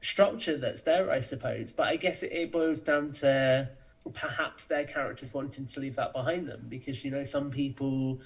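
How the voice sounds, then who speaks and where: A man's voice: mid-range voice, steady tone, moderate pace, lo-fi sound. A man answering as a podcast guest, talking in a thoughtful, explanatory, conversational way.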